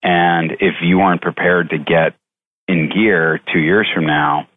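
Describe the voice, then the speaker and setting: A man with an extremely deep voice gives conversational answers as an executive guest. He moves in measured, halting phrases, circling back to repeat words. The sound is thin and phone-like, with little low or high detail. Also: fairly even intonation, no room sound. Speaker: chief executive, interview guest. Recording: tinny phone-like line.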